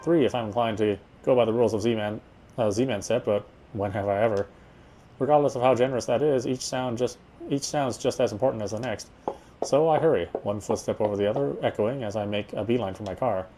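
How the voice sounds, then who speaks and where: A man's voice: on the low side, brisk, mellow, slight background noise. A man reading a first-person game story aloud, now and then stumbling over a word and repeating it.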